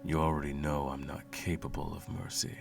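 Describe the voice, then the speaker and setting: A man playing a sadistic sorcerer in an ASMR roleplay, cooing mockingly and condescendingly at a captive pet in a low gravelly murmur. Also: fairly even intonation, fairly quick, over music. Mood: cruel amusement. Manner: teasing, cooing, condescending, theatrical.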